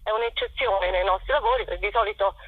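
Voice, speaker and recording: A woman's voice: medium pitch, fast, husky. A woman, a theater author and actress, explaining her work hesitantly as a guest in a spontaneous interview over the phone. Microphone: telephone call. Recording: tinny, muffled telephone line with echo.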